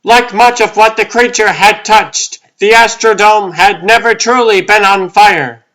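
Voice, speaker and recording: A man's voice: high voice, fairly even intonation, a little slow, sharp tone, poor audio. A man playing a solemn storyteller, giving scripted dramatic narration for a superhero comic. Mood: solemn, wondering.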